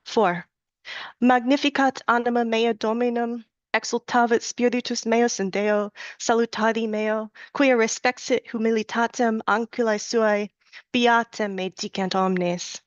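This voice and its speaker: A woman reading her own poetry aloud at a live reading, in a measured, deliberate recitation with rhythmic repetition and soft, low-key asides between poems. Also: steady tone, no echo. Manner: slows and chants the Latin and prayer lines. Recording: dull, dry lo-fi recording.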